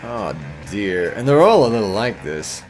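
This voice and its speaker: A man delivers scripted commentary as a video essayist. His pitch moves widely as he leans on words with theatrical, sardonic emphasis. Soft music plays behind him. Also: big pitch swings, unhurried.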